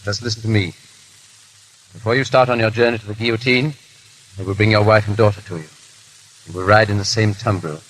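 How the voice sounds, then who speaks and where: A secretive priest in an old radio play. The voice is low, calm and deliberate, kept hushed as if in confidence. The recording is a hissy 1930s broadcast. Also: male voice, slightly rough, low-quality recording. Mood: secretive, urgent.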